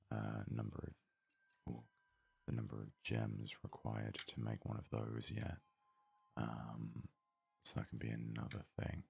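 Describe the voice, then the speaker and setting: A man softly narrating an ASMR video game let's play, rambling and thinking aloud, close to a sensitive microphone. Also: rumbling low, gravelly, breathy edge. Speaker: gaming video host. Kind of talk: whispery soft ASMR let's play commentary. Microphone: close, highly sensitive microphone.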